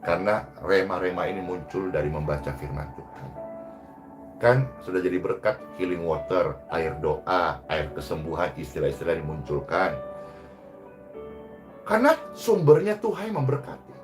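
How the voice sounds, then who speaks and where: A man preaching a sermon as a pastor, exhorting emphatically and moving between loud rises and hushed phrase endings. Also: low voice, very animated, moderate pace, music in the background.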